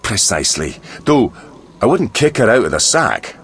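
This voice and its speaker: A man's voice: lowish voice, very animated, moderate pace, a bit bright, a little hiss or hum. A man playing a crude, cynical dwarf mercenary in a video game, talking in a blunt, sardonic, earthy way. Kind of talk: video game dialogue acting.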